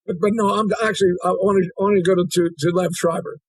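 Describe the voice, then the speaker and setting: A man's voice: high voice, fairly even intonation, fast, breathy edge, dry recording. A male interviewer asking probing questions, often restarting his sentences. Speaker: interviewer, host.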